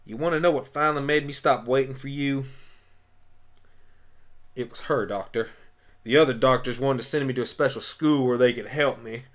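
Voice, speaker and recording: A man's voice: medium pitch, normal speed, pauses often, lightly acted. A man voicing a stubborn, forthright female farm pony with a folksy way of talking in an amateur radio play. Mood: earnest, wistful.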